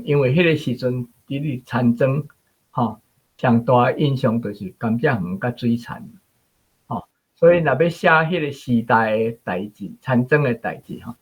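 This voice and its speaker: Husky Taiwanese man in a dull recording. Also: mid-range voice. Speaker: guest commentator recalling rural memories. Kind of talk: informal explanatory talk.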